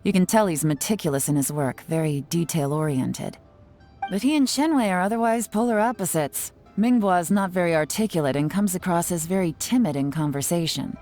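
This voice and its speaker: A woman's voice: on the low side, a little fast, warm tone, soft background music, close to an everyday voice. A woman playing a cool, shrewd investigator in a video game, composed and analytical.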